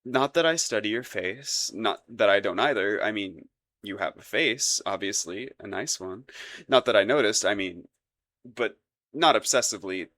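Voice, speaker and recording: A man's voice: on the low side, lively intonation, normal speed, fairly bright tone. A man playing a flustered, lovestruck young crown prince in a romantic audio roleplay, stammering and rambling nervously in formal courtly wording.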